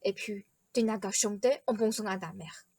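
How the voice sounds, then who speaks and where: A woman's voice: mid-range voice, normal speed, a little mellow, slightly rough, no echo. A woman playing an encouraging, persuasive royal father in a radio play, coaxing a child. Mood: reassuring.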